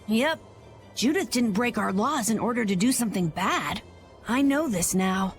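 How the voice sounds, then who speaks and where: A woman's voice: a little high, expressive, moderate pace, lightly acted. A woman playing an earnest, unsure but determined young boy in a video game cutscene. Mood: troubled, resolving. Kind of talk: English game cutscene voice acting. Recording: music behind the voice.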